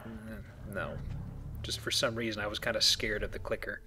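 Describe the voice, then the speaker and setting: A man's voice: on the low side, little pitch movement, normal speed, noisy background. A man hosting a casual let's play, chatting as he plays a video game. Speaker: video game player and host. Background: video game sounds.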